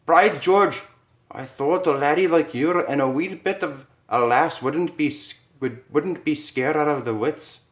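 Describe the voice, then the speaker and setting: Guy doing a loud old scottish man, hearty booming, bit far off, echoey. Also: a little slow, lightly acted.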